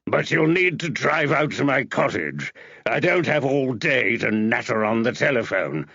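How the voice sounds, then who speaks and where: A man voicing a surly, rude male artist in an audiobook, gruff, blunt and impatient. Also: mid-range voice, big pitch swings, a little slow, sharp tone, no echo, lo-fi sound, heavily acted. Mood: blunt impatience.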